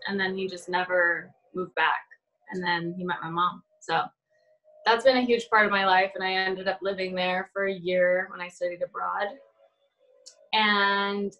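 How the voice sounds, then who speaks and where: A woman's voice: medium pitch, a bit bright, rough recording. A woman chatting casually and informally as a guest on a podcast. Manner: informal, frequent "like". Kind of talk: casual chat.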